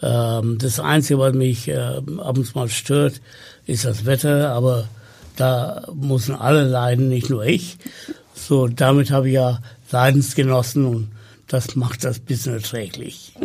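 Slow, gravelly man. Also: medium pitch, steady tone, darkish tone. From Sri Lanka. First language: Tamil. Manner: slow and hesitant with many fillers. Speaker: podcast guest. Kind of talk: conversational interview.

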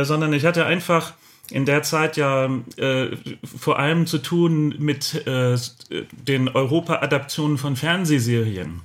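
A man's voice: on the high side, fairly bright tone. A middle-aged man chatting casually and reflectively as an interview guest.

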